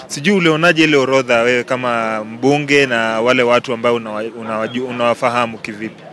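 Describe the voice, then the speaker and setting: A man's voice: medium pitch, steady tone, fast, a bit bright. A man, a reporter putting questions to a lawmaker outdoors. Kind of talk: interview questions. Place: outdoors on a city street.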